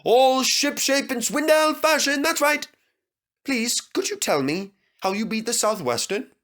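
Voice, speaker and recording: A man puts on a proud little engine for a storybook. The voice is raised high and swings a lot, earnest and eager in its loyalty. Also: lively intonation, unhurried, a bit bright, exaggerated voice. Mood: shy, eager. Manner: earnest, eager, indignant when crossed.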